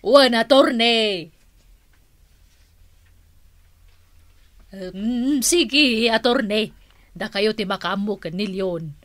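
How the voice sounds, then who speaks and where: A woman's voice: a little high, takes it slowly, a bit bright, no echo. A woman playing a playful, theatrical auntie in a comic radio drama. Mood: businesslike.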